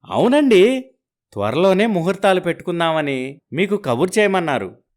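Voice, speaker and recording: Man acting an ingratiating marriage broker, raised pitch. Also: normal speed. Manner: polite, flattering.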